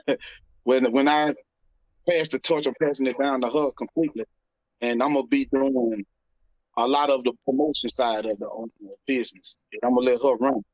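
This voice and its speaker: A man's voice: mid-range voice, steady tone, normal speed, no echo. American man, dry and slightly muffled. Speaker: interview guest, rapper and label CEO. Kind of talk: casual interview answers. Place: indoor room, video call.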